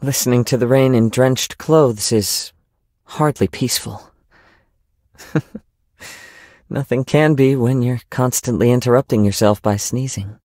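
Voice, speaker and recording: A man acts out a young wandering samurai from a video game, gentle and wistful. His voice sits at a middle pitch, with soft, measured, reflective delivery and formal wording. The sound is close and dry, with no room on it. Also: no echo, lightly acted. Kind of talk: video game character voice lines.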